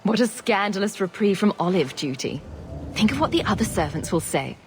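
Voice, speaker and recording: A woman's voice: mid-range voice, animated, brisk, over music, lightly acted. An actress playing a confident female adventurer in a video game, with a dry, teasing, sardonic manner. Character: confident, dryly witty female champion adventurer.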